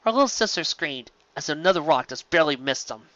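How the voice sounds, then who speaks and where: Male story narrator, lively pitch, bright tone, slightly dull dry home recording. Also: brisk, no echo. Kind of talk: expressive scripted narration.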